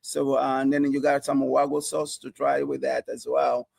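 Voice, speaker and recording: A man from South Africa, a chef and restaurant owner, talking casually in a TV interview, halting with many fillers and restarts. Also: mid-range voice, flat delivery, vocal fry, darkish tone, no echo, clean studio sound.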